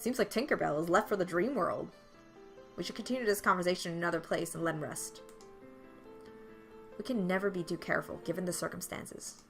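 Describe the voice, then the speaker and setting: A woman's voice: fairly low pitch, brisk, gravelly, pauses often, fairly bright tone. A woman playing a visual novel for a gaming channel, reading its dialogue aloud. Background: game music.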